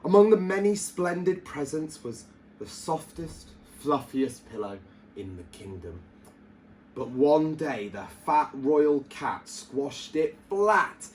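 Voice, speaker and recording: Guy doing a kids bedtime story, really animated, takes his time, bit of background noise. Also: a little high, takes it slowly, rough recording. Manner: theatrical, emphatic storyteller delivery. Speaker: primary school teacher reading storytime. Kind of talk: expressive children's story reading.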